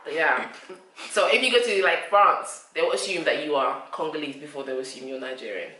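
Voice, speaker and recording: A British woman chatting casually and telling stories as a vlog co-host, in an animated, chatty way. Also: low-pitched, fairly quick, a little hiss or hum. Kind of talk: casual chat. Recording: roomy echo, distant microphone.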